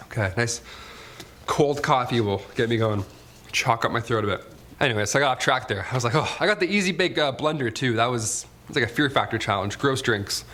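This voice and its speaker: A man's voice: mid-range voice, lively intonation, moderate pace, a bit bright. A man hosting a top ten list, mixing scripted countdown narration with casual, joking, sarcastic asides. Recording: music bed behind the voice. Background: background music.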